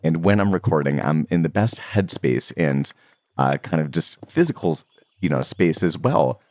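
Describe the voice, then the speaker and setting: A young man who makes Minecraft videos, talking casually to his viewers in a relaxed, rambling way with many fillers and soft laughs. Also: very deep voice, normal speed. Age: young adult. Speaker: Minecraft content creator.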